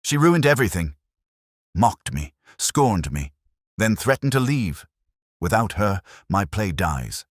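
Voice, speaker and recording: A man's voice: on the low side, very animated, slow pace, no room sound, studio quality, pitched above the performer's own voice, full character voice. A man voicing a wild-eyed, wounded playwright villain in an audiobook, spitting out his grievances theatrically. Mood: bitter, desperate. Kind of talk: detective audiobook dialogue.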